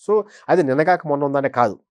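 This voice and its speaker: A man's voice: a little high, expressive, brisk, smooth, dry recording. Emphatic male political analyst, dry sound. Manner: emphatic, repeats phrases for stress. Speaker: senior journalist, political analyst. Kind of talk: conversational political commentary.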